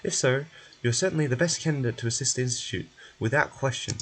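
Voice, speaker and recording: A man's voice: mid-range voice, quick pace, a bit bright, a little hiss or hum, natural voice. Man playing a stern, clinical game scientist. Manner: formal, clinical, exasperated. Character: stern, blunt, meticulous research institute scientist.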